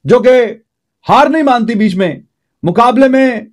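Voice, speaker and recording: A man from Pakistan lamenting a cricket session in a halting way. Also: extremely high pitch, expressive. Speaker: cricket commentator.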